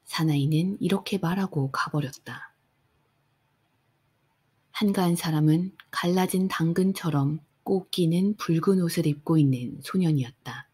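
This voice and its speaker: Woman narrator, bedtime audiobook, soft, unhurried, deep voice. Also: husky. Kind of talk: calm bedtime audiobook reading.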